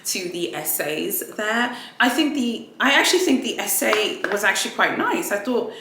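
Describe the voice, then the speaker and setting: Bright, chatty woman vlogger. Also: mid-range voice, very animated, normal speed, gravelly, reverberant. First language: Swahili. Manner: chatty, rambling, with frequent restarts and fillers. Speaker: luxury unboxing vlogger. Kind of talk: casual chatty unboxing vlog. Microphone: ring light setup, camera mic.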